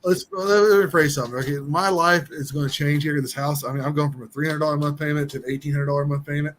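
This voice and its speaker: A man's voice: on the high side, steady tone, very fast, fairly bright tone, a little hiss or hum. A man in his thirties chatting casually on a livestream from home over a laptop, rambling with many restarts. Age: 30s. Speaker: livestream host. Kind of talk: casual chat.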